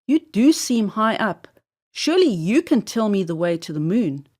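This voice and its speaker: A woman's voice: mid-range voice, sing-song, normal speed, darkish tone. A woman voicing an eager, naive little boy mouse from a storybook, earnest, polite and insistent.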